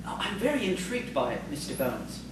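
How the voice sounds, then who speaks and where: A woman's voice: fairly low pitch, sing-song, brisk. A woman playing a polite, timid, bookish male schoolteacher in audio theatre, hesitant and prim. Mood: nervous, courteous. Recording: distant, echoey, noisy stage recording.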